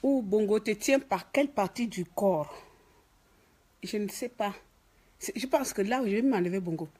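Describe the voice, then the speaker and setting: Gabonese French woman, husky, mid-pitched; scolding, mocking political vlogger. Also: moderate pace, mellow. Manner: scolding, mocking, emphatic repetitions. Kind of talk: ranting political commentary to camera. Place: office.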